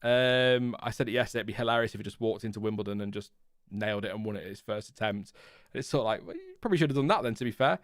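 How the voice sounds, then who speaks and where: A man's voice: fairly low pitch, racing, no room sound, clean studio sound. A British man hosting a casual race reaction, thinking aloud and rambling with many fillers. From Britain. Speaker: host.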